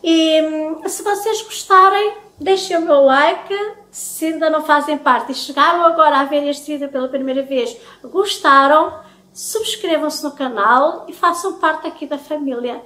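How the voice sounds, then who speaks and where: A woman's voice: sits high, unhurried, bright, forceful tone. A middle-aged woman with a European Portuguese accent chatting casually and affectionately to her viewers as a home vlogger. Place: home kitchen, indoors. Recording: echoey domestic kitchen.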